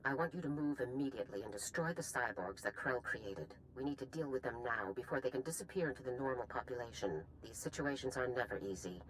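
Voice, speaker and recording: Tough older military general in a game, clipped orders, lively pitch, faint rumbly noise. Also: female voice, fast talker, few pauses, low-quality recording. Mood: grave, commanding. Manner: stern, clipped, commanding. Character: stern middle-aged female general, hard and unyielding. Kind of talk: scripted video game acting.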